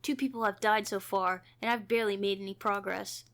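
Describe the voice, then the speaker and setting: A woman's voice: medium pitch, fairly quick. A woman hosting a gaming playthrough, reading a visual novel aloud theatrically and adding casual asides. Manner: expressive, theatrical. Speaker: gaming video host.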